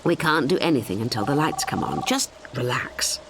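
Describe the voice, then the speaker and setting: A woman voicing a steady, protective woman in an audiobook, firm and reassuring as she keeps her nerve. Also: very deep voice, moderate pace, background noise, heavily acted. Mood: soothing.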